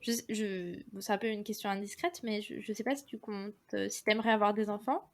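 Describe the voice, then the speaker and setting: A woman's voice: mid-range voice, fairly even intonation, a little fast. A woman hosting a podcast, asking informal, curious interview questions with some hesitant fillers. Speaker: podcast host.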